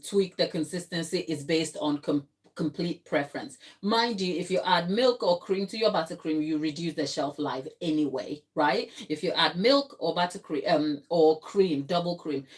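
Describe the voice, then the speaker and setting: A woman's voice: lowish voice, moderate pace, few pauses. A woman from Ghana hosting a live cake tutorial from her kitchen, explaining steps and answering viewers in the chat. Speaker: baking channel host. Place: home kitchen.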